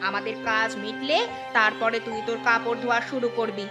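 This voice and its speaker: A woman voicing village women in a cartoon folk tale, with exaggerated, expressive delivery. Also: fairly high pitch, a little fast, highs cut off, over loud music, close to an everyday voice. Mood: scornful, scolding.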